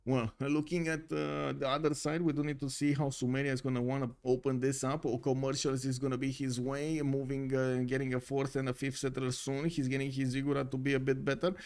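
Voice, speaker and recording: A man's voice: medium pitch, completely flat delivery, brisk, hardly any pauses, a little mellow, clear, resonant tone. A man from Romania with a Romanian accent, giving live commentary on a strategy game as a caster and answering chat, with many ums and uhs. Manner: filler-heavy, reads chat aloud. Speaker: esports caster.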